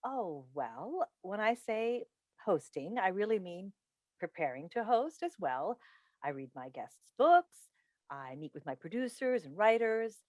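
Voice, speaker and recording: A woman playing a defensive daytime talk-show host and mother in a staged play reading, with a halting, earnest delivery. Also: medium pitch, sing-song, a little mellow, no room sound. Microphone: video call microphone.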